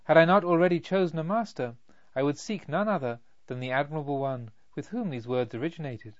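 A man's voice: a little high, fairly quick, dry recording, highs cut off, close to an everyday voice. A man voicing an agitated, fervent young male pilgrim, earnest, wordy and exclamatory, in a storybook reading.

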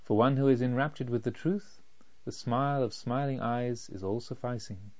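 A man voicing a serene, courteous wandering holy master in a storybook reading, speaking gently and reflectively. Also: medium pitch, normal speed, lightly acted. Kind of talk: storybook narration. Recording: slightly dull, lo-fi.

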